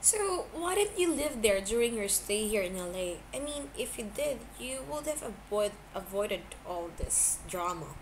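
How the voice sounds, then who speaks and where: A woman voicing a gracious, curious young man with a gentle, questioning manner in an amateur story reading. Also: medium pitch, sing-song, unhurried, few pauses. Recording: clearly noisy amateur home recording.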